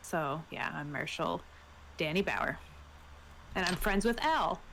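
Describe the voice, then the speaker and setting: A woman playing a tabletop game, talking casually and tentatively, pausing as she explains. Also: on the low side, lively intonation, fairly bright tone, slight background noise. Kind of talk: casual chat.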